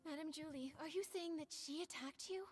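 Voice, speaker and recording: A woman voicing an earnest young female adventurer in an anime-style game, emphatic and expressive. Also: sits high, a little fast, close to an everyday voice. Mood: concerned.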